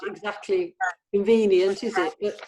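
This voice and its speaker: A woman's voice: mid-range voice, fairly even intonation, moderate pace, mellow, a little hiss or hum. Woman in a casual group meeting. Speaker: production organiser at a table read.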